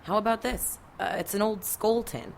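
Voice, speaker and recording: An actress playing a feisty, skeptical policewoman with a sharp tongue in an audio drama. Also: mid-range voice, normal speed, creaky, a little hiss or hum, natural voice. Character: feisty, skeptical armed female police officer, sidekick.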